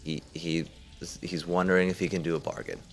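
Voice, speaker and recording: Deep-voiced man at a tabletop game. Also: little pitch movement, a little fast, over music. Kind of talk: casual chat.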